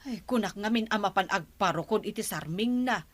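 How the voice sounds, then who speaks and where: A woman's voice: medium pitch, fairly quick, lightly acted. A woman playing a practical, grumbling village auntie in a comic radio drama.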